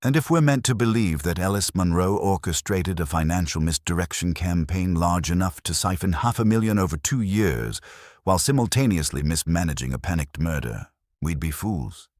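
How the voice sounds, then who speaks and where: A man voicing a grave, imperious older detective in an audiobook mystery, murmuring in measured, formal sentences. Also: low-pitched, expressive, a little mellow, dry recording, studio quality. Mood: grave, reasoning.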